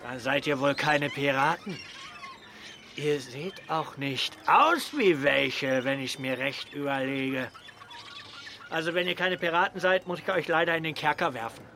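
An actor playing a gruff, bossy but good-natured pirate harbour master in a children's radio play. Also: male voice, on the high side, unhurried, sharp tone, a little hiss or hum. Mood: officious. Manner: bossy, teasing, theatrical.

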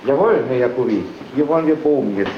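A man being interviewed in a coffee tasting room, brisk with dry humour, heard on a 1932 wax disc recording. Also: medium pitch, animated, reverberant, highs cut off, noisy background.

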